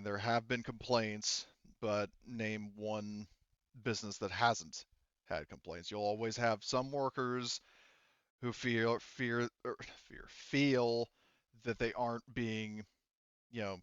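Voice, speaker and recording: A man playing a guarded, evasive corporate department head in a roleplaying game, speaking in a formal, measured manner. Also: fairly low pitch, fairly even intonation, a bit bright, clean tone, no echo, dull audio.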